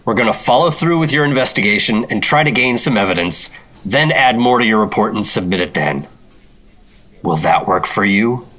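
An American man playing a firm, dutiful government operative in a 1950s radio drama, authoritative and measured. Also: on the low side, expressive, fairly bright tone. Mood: firm, placating. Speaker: lead actor and narrator.

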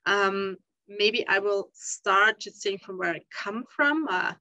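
A woman's voice: mid-range voice, slow pace, sharp tone, no room sound. A woman from Austria with an Austrian German accent, giving an academic talk on a video call, thoughtful and full of ums. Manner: thoughtful, many ums and uhs. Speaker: conference panelist. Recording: dull lo-fi video call audio.

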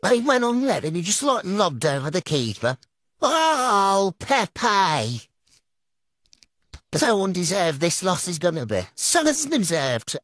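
British man ranting, voice swinging wildly in pitch. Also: wildly swooping pitch, takes it slowly, a bit bright, no echo. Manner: foul-mouthed, exasperated complaining. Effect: pitch-altering trickster voice filter. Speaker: gamer commentating on own football game play. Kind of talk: gaming commentary with ranting.

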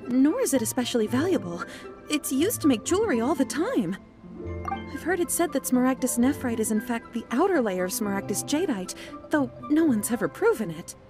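A woman voicing an earnest, modest young gem expert in a video game, explaining in a measured way. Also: high voice.

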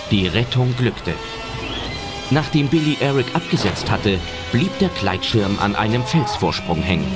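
A man reading scripted audio drama narration as a storyteller. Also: medium pitch. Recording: narration over loud film music.

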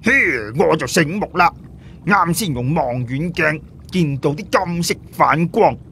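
A man's voice: a little high, measured pace, creaky, a bit bright, a little hiss or hum, voice pushed up. A man voicing a brash, boastful tough guy for an audiobook, blunt and slangy with plenty of exclamation. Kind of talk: audiobook character voice.

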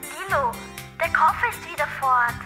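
A woman's voice: high-pitched, expressive, normal speed, over music. A woman playing an anxious, earnest young girl in a children's mystery audio drama. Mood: worried.

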